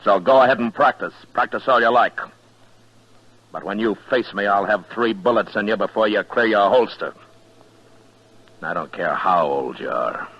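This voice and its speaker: A dull vintage radio recording carries a stern, weary American frontier marshal. His voice is very low and husky. He speaks bluntly and deliberately, with a hard edge, in old radio western style. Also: expressive. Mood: grimly threatening. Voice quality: husky, deep. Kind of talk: 1950s radio western acting.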